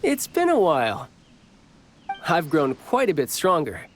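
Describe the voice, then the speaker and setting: A man's voice: on the high side, huge pitch swings, a little slow, soft background music. A man voicing a cocky, friendly young warrior in a video game, with a teasing, confident manner. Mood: warm, playful. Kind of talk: video game character voice lines.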